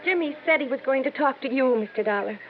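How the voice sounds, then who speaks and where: A woman's voice: high-pitched, animated, quick pace, faint music behind. An actress playing an anxious small-town mother worried about her missing son, in old radio drama style. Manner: anxious, pleading. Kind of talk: 1950s radio drama acting. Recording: noisy phone-like old radio broadcast.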